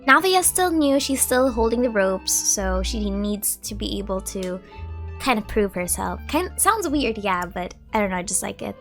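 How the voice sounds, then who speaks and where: A woman's voice: mid-range voice, sing-song, normal speed, a bit bright, faint music behind. A woman who is sick with a cold, giving casual commentary as she plays a game. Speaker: streamer, gameplay commentator.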